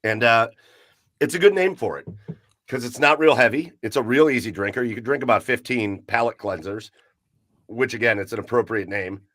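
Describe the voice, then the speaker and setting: A man hosting a live podcast with an upbeat, emcee-like delivery. His voice sits at an ordinary pitch and sounds dry and close to the mic, as if recorded in a small, deadened room. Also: moderate pace, fairly bright tone, no room sound. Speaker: podcast host. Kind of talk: casual livestream chat.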